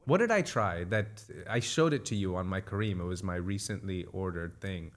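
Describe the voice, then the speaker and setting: A man's voice: low-pitched, expressive, normal speed. A man from India co-hosting a podcast, chatting casually, teasing his co-host and laughing often. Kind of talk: casual chat.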